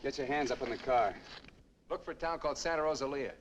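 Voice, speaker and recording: An actor playing a menacing armed hitchhiker in a 1950s film noir, curt, commanding and taunting. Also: male voice, medium pitch, fast talker, lightly acted. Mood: curt, probing. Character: menacing armed male hitchhiker holding two men captive. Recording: old film soundtrack, music, vehicle noise behind.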